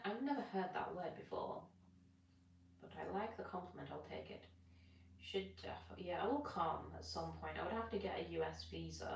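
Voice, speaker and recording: A woman's voice: low voice, vocal fry. A young British woman chatting casually on a live stream while she stretches, rambling and answering comments. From Britain. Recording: echoey room, distant from mic.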